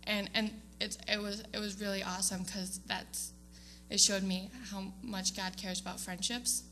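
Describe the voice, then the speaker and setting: Bright-voiced young woman speaking in church. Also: medium pitch, level tone, normal speed, a little hiss or hum. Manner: hesitant, many ums, laughs often. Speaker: student giving award speech. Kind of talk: casual speech to a church congregation. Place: church sanctuary.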